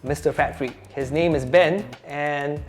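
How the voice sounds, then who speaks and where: A man giving an informal, halting product presentation as a company spokesperson in a showroom. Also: a little high. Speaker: company spokesperson, chief storyteller. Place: showroom office. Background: music.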